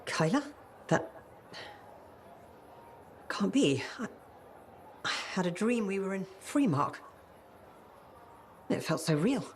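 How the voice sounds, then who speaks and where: A woman's voice: mid-range voice, sing-song, takes it slowly, long pauses, a little hiss or hum. A woman playing a distraught, confused mother grieving for her son, emotional and accusing, in a video game. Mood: bewildered, shaken.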